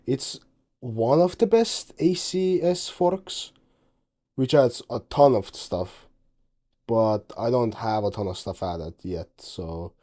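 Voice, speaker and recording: A man's voice: mid-range voice, warm tone. A teenage man from Estonia, a native Estonian speaker, chatting casually in English about his game project, rambling and often correcting himself. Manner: rambling, self-correcting, offhand. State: tired. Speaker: game dev vlogger. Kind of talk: casual commentary over screen recording.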